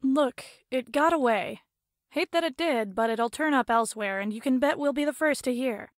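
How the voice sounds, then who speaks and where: Woman acting a breezy, high-voiced young teammate. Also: moderate pace, dry recording, studio quality, natural voice. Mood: reassuring. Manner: casual, breezy, teasing. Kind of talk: visual novel character acting.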